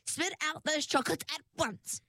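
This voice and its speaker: A bold young mermaid girl who barks orders in a sharp, high voice , as a woman puts her on. Her commands come out clipped and bossy. The storybook audio is dry and close. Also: moderate pace, no room sound. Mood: urgent.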